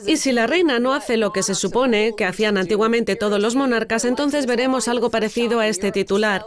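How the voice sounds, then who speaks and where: A woman co-hosting a financial news show, telling news items in a brisk, wry way. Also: fairly high pitch, a crowd in the background. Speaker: co-host. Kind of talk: dubbed financial news commentary.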